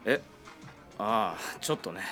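An actor playing a friendly young man who speaks gently and casually, in a radio drama. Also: on the low side, lively intonation, a little slow, faint music behind. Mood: gentle.

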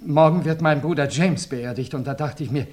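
A man's voice: on the high side, brisk, darkish tone, natural voice. Guy playing an anxious earnest witness, hesitant but urgent, old radio play feel. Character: earnest, anxious man reporting a murder.

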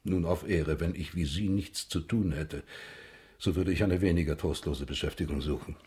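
A man playing a deep-voiced, stiff state prosecutor. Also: normal speed, a little mellow, natural voice. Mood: condescending. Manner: formal, stilted, self-important. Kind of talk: German radio drama acting.

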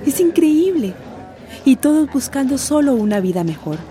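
Weary migrant woman, higher voice. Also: big pitch swings, normal speed, warm tone. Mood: weary, sad. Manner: plain-spoken, colloquial storytelling. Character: weary, indignant working-class migrant woman telling her ordeal. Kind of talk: radio drama acting.

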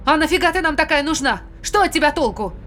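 An actress playing a panicky, sharp-tongued female spaceship crew member in an audio drama. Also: high voice, expressive, quick pace, slight background noise. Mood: angry, scolding. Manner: agitated, scolding.

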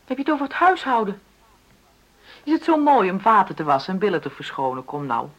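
A woman's voice: mid-range voice, very animated, brisk, low-quality recording. An actress playing a frustrated middle-aged wife in a radio play, insistently arguing for equality in her marriage. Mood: argumentative. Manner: argumentative, insistent, reasoning. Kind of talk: naturalistic Dutch radio play dialogue.

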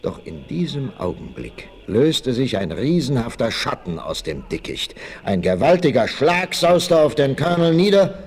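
Male narrator for vintage adventure radio play: lively, widely moving pitch, suspenseful scripted delivery. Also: normal speed, slightly rough. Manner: suspenseful storytelling. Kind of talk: dramatic scripted audio drama narration, 1960s.

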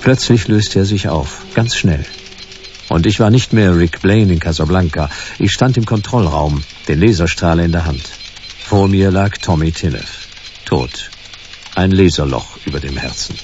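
An actor playing a laconic hard-boiled private detective in a German radio drama, dry and clipped. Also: male voice, low-pitched, moderate pace, a little mellow, muffled recording, faint music behind, close to an everyday voice. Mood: laconic narrating. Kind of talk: first-person noir narration and dialogue in radio drama.

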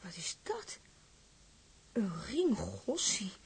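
Husky, outspoken young woman in love. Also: medium pitch, sing-song, drawn-out words, exaggerated voice. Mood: pleasantly surprised. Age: young adult. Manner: blunt, insistent, girlishly colloquial. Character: outspoken, lovestruck young woman of a seaside town, just of age. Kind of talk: dramatized dialogue acting.

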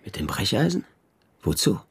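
A man's voice: lowish voice, sing-song, moderate pace, gravelly, breathy edge, no echo, heavily acted. An actor playing a worldly-wise male private detective in a German radio crime drama, speaking in a dry, colloquial, understated way. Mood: calm, probing. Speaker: lead actor and first-person narrator.

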